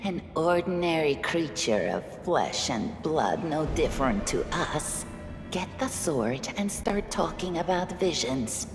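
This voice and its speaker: An actress playing a proud, fierce mongrel warrior woman in a video game, intense and deliberate, echoing over music. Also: sits low, lively intonation. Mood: resentful. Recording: echoey hall reverb over music.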